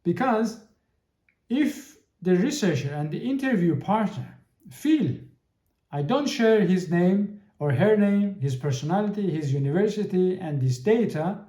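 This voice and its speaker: Halting man in an echoey room. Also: sits high, animated, warm tone. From Iran. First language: Persian. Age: 30s. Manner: halting, searching for words, frequent restarts. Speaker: podcast host. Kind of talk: solo podcast monologue.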